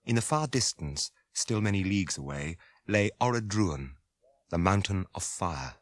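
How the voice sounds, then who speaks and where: A man with a British accent giving grave, measured, solemn narration for a radio drama. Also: deep voice, animated, no echo.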